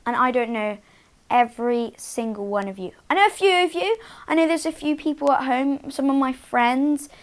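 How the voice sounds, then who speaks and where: High-voiced woman reading a children's story. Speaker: children's storytime host and reader. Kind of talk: expressive read-aloud for young children.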